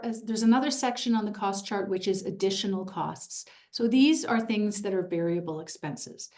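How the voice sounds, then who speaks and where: A woman presenting an info session in a clear, organized, upbeat way. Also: medium pitch.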